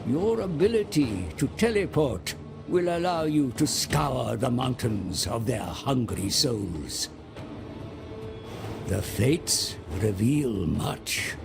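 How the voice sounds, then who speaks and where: Game cutscene narrator: cunning, servile schemer, slow portentous delivery, gritty fry, music bed. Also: male voice, mid-range voice, very animated, heavily acted. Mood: coaxing, portentous. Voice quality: gravelly. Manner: measured, portentous, coaxing. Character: scheming, servile mortal advisor to daemon lords.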